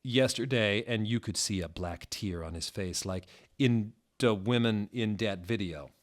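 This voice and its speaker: A man with a somewhat low voice narrates a story. His reading is theatrical and mock-serious, played for comedy as a dramatic performance. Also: unhurried.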